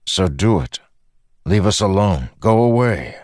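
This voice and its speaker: A man's voice: deep voice, sing-song, measured pace, a little mellow, no room sound, studio quality, pitched above the performer's own voice. A man voicing a stern, guarded war leader in a fantasy audiobook, speaking in a firm, blunt, stubborn way. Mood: defiant.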